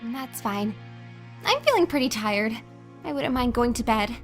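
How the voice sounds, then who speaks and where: A woman's voice: fairly high pitch, very animated, measured pace, soft background music. A woman playing a sweet, curious, wide-eyed girl in an audio drama, earnest, polite and eager. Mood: polite, hopeful.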